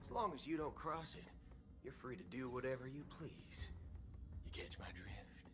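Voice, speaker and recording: A man's voice: medium pitch, expressive, normal speed, lightly acted. A man playing a swaggering, folksy cowboy gunslinger from space in a video game, with a theatrical American Western drawl. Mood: firm, folksy. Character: swaggering male cowboy galaxy ranger gunslinger, folksy and amiable. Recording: game music behind the voice.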